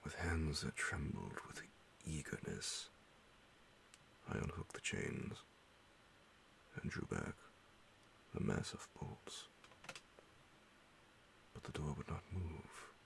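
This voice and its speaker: Very deep, gravelly hushed male narrator. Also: sing-song, slight background noise. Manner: hushed, half-whispered, dramatic pauses. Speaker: audiobook narrator. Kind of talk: ASMR bedtime horror story reading.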